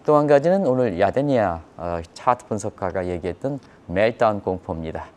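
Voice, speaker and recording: A man's voice: fairly low pitch, lively intonation, a little fast, a little mellow. A man giving live financial news commentary, explaining step by step, repeating key terms and putting rhetorical questions to viewers. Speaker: economic commentator.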